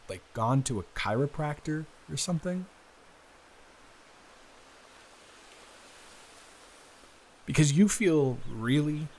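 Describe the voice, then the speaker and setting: A man playing an awkward, earnest young man in an improvised intimate roleplay, hesitant, rambling and quick to apologize. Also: medium pitch, a little hiss or hum. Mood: nervous, helpful. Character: awkward, earnest, smitten young man, best friend's brother.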